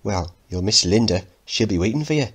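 A man's voice: fairly low pitch, animated, brisk, slightly rough. A man voicing a brash, teasing working-class mother with a blunt, ribbing manner, reading from a play. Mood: nagging.

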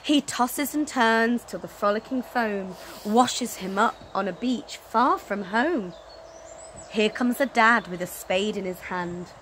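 A woman in her thirties reading a children's story aloud in an animated, dramatic way, recorded outdoors. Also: mid-range voice, slow pace. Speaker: storytelling teacher. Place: outdoors on an open wooden deck. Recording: outdoor recording, clear background noise.

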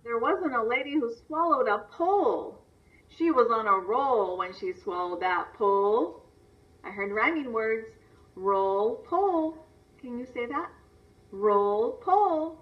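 A woman's voice: fairly high pitch, expressive, a little slow. A woman teacher giving a read-aloud lesson for young children, instructional, stressing words and asking simple questions. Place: video lesson played on a screen and re-recorded. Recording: distant, dull replay through a screen's speaker.

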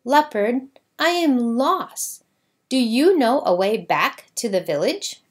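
A woman's voice: a little high, expressive, slow, voice pushed up. A woman voicing an earnest, pleading young village girl in a storybook read-aloud.

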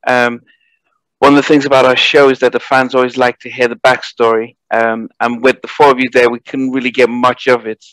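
Um homem apresentador fazendo perguntas amigáveis e um pouco hesitantes numa entrevista, usando microfone de headset. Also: male voice, mid-range voice, little pitch movement, a little fast, a bit bright, no room sound, rough recording. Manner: friendly, hesitant questioning. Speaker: podcast host and interviewer. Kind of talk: interview questions. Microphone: headset mic.

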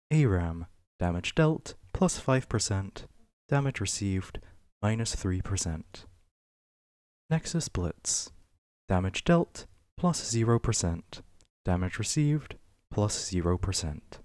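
A man with a fairly low voice reads written entries as ASMR, very slowly and with deliberate pauses. His pitch rises and falls noticeably from item to item, and the recording is close and dry. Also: very animated, darkish tone, dry recording. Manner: measured, list-like reading with pauses. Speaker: ASMR reader. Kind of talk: ASMR reading of a game wiki page.

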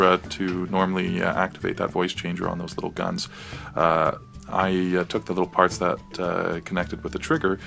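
A man's voice: gravelly, fairly bright tone. An American man giving a casual, hesitant, off-the-cuff walkthrough of his DIY project. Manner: hesitant, off-the-cuff, low-key.

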